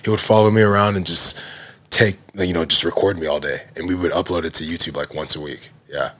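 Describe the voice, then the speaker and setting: A man in his thirties, a guest telling his business story in relaxed casual talk, full of "you know" and "like". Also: low voice, brisk. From Nigerian heritage. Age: 30s. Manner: relaxed, heavy use of fillers like "you know" and "like". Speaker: guest, fitness brand founder.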